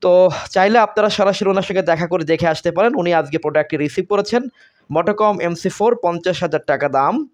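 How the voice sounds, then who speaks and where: A man with a Bangladeshi accent giving unscripted sales talk as a seller, explaining haltingly and repeating himself. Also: high-pitched. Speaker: seller presenting products on video.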